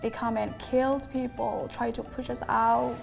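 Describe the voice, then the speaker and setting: A woman from Thailand who is a guest on a cooking show, calmly explaining things in plain words. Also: fairly high pitch, moderate pace, gravelly, over music. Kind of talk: interview answers.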